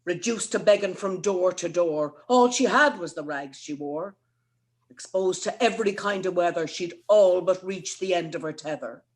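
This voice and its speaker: A woman playing an indignant, sharp-tongued young woman in a theatrical, scornful dramatic reading of comic verse. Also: lowish voice, moderate pace, lightly acted. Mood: scornful, threatening. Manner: scornful, theatrical, emphatic delivery.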